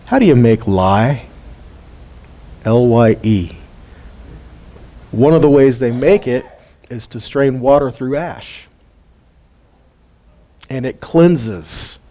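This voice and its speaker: A man's voice: mid-range voice, animated, slow, pauses often, warm tone. A middle-aged man teaches scripture in a folksy way, asking his listeners questions and chuckling often. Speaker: Bible teacher. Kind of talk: casual Bible teaching.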